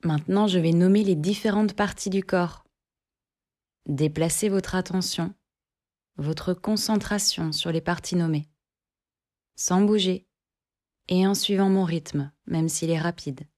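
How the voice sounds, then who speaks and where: Female yoga nidra guide: lowish pitch, very unhurried delivery, calm and measured scripted instructions, plenty of space between phrases. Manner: calm, measured, short phrases with long pauses.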